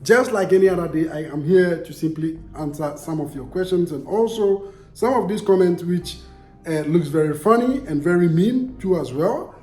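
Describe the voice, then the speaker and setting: A man's voice: high voice, normal speed, warm tone, echoey room, soft background music. A young Ghanaian man with a Ghanaian accent, vlogging casually and answering viewer comments in an emphatic way, repeating himself and asking rhetorical questions.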